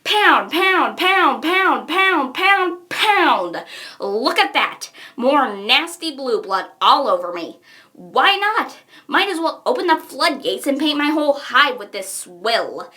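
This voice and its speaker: A woman's voice: high-pitched, sing-song, takes it slowly, bright, forceful tone, full character voice. A woman voicing a brash, self-absorbed young troll villainess with theatrical delivery. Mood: infuriated. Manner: theatrical, exasperated. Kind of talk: fan character voice acting reel.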